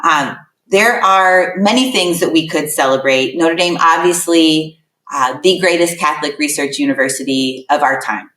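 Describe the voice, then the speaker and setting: A woman's voice: low voice, moderate pace, a bit bright. A middle-aged woman hosting an admissions webinar, giving an emphatic, welcoming address with repeated words for effect. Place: room with a laptop. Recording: roomy echo, mic at a distance.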